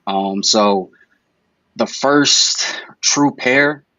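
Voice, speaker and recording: A man's voice: medium pitch, drawn-out words, bright, forceful tone, no echo, muffled recording. A man in his twenties, a guest reptile breeder chatting casually on a video-call podcast, talking in a laid-back, rambling way with many fillers. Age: 20s.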